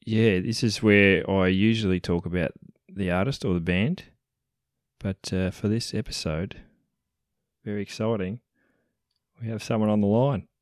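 A man with an Australian accent hosting a casual music podcast, upbeat and quick to laugh. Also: fairly low pitch, fairly even intonation, no room sound, clean studio sound. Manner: upbeat, laughs easily, many fillers. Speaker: podcast host.

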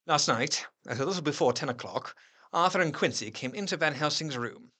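An actor playing a troubled, sceptical gentleman doctor, narrating his diary in intense, formal literary diction for a gothic drama. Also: on the high side, expressive, fairly quick, slightly rough, exaggerated voice. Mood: doubting, reflective. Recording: music under the voice. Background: music.

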